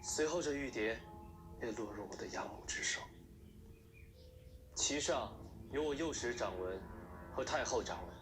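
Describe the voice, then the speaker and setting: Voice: medium pitch, animated, moderate pace, pauses often, lightly acted. An actor playing a grave court figure in a Chinese historical drama, speaking in a formal, declamatory way. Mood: solemn testimony. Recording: drama audio with loud music behind. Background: loud background music.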